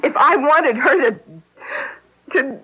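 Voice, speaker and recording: An actress playing a distraught, jealous wife in a radio drama, halting and emotional as she defends herself against a murder accusation. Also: high-pitched. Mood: defensive, upset. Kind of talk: 1970s radio drama acting. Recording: dull lo-fi radio recording.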